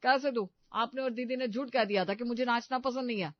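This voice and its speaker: A woman's voice: a little high, brisk, no room sound, muffled recording, natural voice. A woman voicing a whiny, hesitant young son-in-law in an exaggerated Hindi comedy cartoon. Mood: whining, frustrated.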